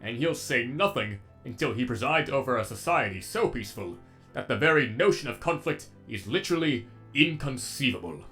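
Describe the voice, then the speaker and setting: A man's voice: mid-range voice, animated, moderate pace, bright, forceful tone, faint music behind. A man voicing a sadistic, erudite robot villain with an eloquent, menacingly polite delivery, as in a comic dub. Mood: silky, menacing.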